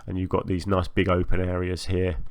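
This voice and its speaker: A man giving casual, chatty commentary as host of a gaming channel, a little hoarse from a long recording session, hesitant and self-effacing. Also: low voice, completely flat delivery, fairly quick, no echo. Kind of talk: casual game build commentary.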